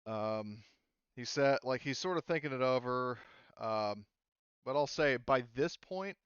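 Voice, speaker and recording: A man serving as game master for a tabletop roleplaying session, who stops and restarts often as he explains the rules. His voice is smooth and clear. The recording is dry and dull, like lo-fi online call audio. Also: mid-range voice, lively intonation, a little slow, no room sound. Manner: hesitant, lots of ums and restarts.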